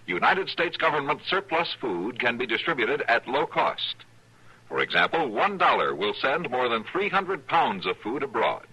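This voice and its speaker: A man's voice: medium pitch, bright, forceful tone, breathy edge, low-quality recording. A man announcing an earnest public service appeal in an old radio broadcast, oratorical in delivery.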